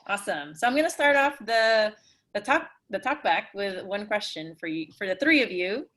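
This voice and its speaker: Woman, event host, casual delivery, medium pitch, video call. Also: expressive, normal speed, a bit bright. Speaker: host of a playwrights' talk-back.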